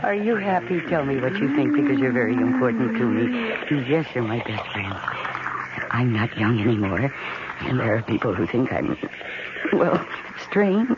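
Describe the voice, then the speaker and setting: An actress playing an emotional, lonely wife, no longer young, pleading haltingly and intensely in a 1970s radio drama. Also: female voice, very deep voice, sing-song. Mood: anxious, confiding. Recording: dull, noisy old radio recording.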